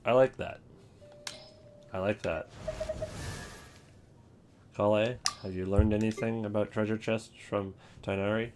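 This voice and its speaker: A man's voice: fairly low pitch, fairly even intonation, measured pace, long pauses, noisy background. A man hosting a video game ranking, giving wry, opinionated commentary in a casual, improvised way.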